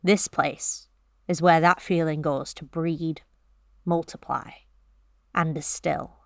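An actress playing a delivery driver who tells a horror story in the first person, wry and confiding. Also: female voice, low-pitched, steady tone, slow, no room sound, clean studio sound. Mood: uneasy. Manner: wry, confiding, self-deprecating. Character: wry, uneasy woman courier.

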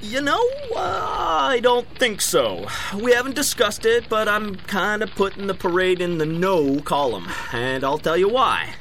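A high, bright-voiced mayor fielding questions in a comedy radio play. He is evasive and bumbling, hedging in folksy official patter over clear background noise. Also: very animated, measured pace, few pauses, exaggerated voice. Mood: breezy, evasive. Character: evasive, bumbling male big-city mayor at press conference. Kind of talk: comedic audio drama.

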